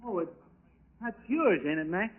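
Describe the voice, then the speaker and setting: An actor playing a folksy, griping American lunch counterman with a husky voice in a 1940s radio drama. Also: high-pitched, big pitch swings, normal speed. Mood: grumbling. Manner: colloquial, griping. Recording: phone-like old radio recording, long reverb.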